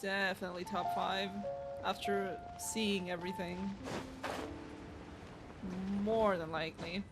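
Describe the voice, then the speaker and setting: A young woman comments casually on a game as a live streamer. The voice is medium in pitch and conversational. Music plays clearly in the background. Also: little pitch movement, measured pace, long pauses.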